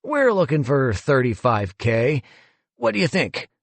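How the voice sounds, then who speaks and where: A man's voice: mid-range voice, big pitch swings, unhurried, vocal fry, no echo, voice pushed up, heavily acted. A man doing a smug, breezy museum boss. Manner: breezy, condescending.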